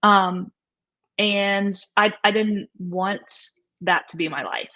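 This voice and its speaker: A woman's voice: medium pitch, fairly even intonation, takes it slowly, highs cut off. A woman talking casually and candidly as an interview guest, explaining things from her own experience. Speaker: author and coach, interview guest.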